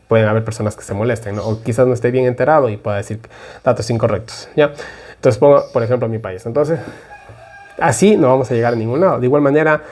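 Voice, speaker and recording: A man's voice: medium pitch, a little mellow. A man from Ecuador giving an informal Bible prophecy talk, rambling and conversational with frequent asides.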